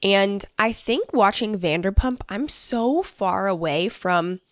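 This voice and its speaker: A woman's voice: mid-range voice, animated, takes it slowly, no room sound, studio quality. A young woman hosting a casual solo podcast, chatty and upbeat, talking with a stuffy nose from allergies. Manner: bubbly, chatty, fillers like "like" and "honestly". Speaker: podcast host.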